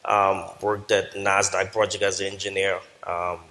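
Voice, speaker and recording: A middle-aged Bahamian man on a conference panel, calmly recounting his career with frequent fillers. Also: low voice, normal speed. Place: large conference hall stage. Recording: long hall reverb, faint noise.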